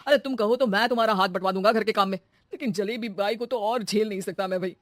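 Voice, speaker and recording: A man's voice: high voice, quick pace, no echo, studio quality, natural voice. A man voicing a fed-up husband in a comedy cartoon, complaining and pleading in exasperation. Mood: fed up, dramatic. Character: exasperated middle-class husband, employer of a maid. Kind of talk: comic Hindi cartoon acting.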